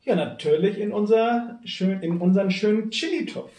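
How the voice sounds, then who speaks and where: A man's voice: high-pitched, normal speed, a little mellow. A middle-aged man from Hamburg, a guest tribute singer, chatting casually. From Hamburg, Germany. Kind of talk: casual chat. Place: small home kitchen, indoors. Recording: echoey room, a little distant.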